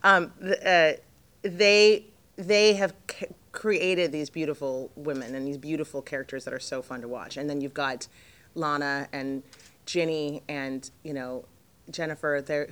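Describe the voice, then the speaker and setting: A middle-aged woman with a low voice, jet-lagged, chatting in an interview as the guest actress, rambling with lots of fillers and restarts. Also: steady tone, unhurried, fairly bright tone. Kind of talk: casual interview chat.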